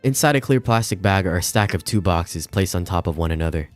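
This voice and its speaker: A man's voice: low-pitched, animated, a little fast, no echo. A man streaming a visual novel, reading the narration aloud with casual asides and some stumbles over the words. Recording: music behind the voice.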